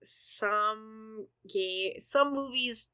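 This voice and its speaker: A woman's voice: a little high, extremely slow, bright, forceful tone, clear, resonant tone. A young woman co-hosting a podcast, leading the talk in a chatty, upbeat way. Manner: leads the topic, upbeat and chatty. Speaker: podcast co-host. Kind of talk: casual podcast chat.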